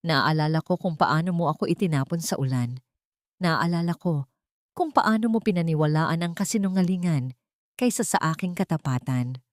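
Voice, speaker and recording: A woman narrator plays a proud, wounded young mother in an audio love story. She speaks low, firm and full of dignity, in a dry, close sound. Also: expressive, moderate pace, no echo.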